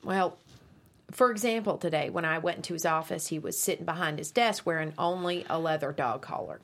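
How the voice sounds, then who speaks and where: A woman's voice: low voice, fairly quick, a bit bright. A woman reading the part of a tough but evasive middle-aged working mother at a script table read. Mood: awkward, confiding.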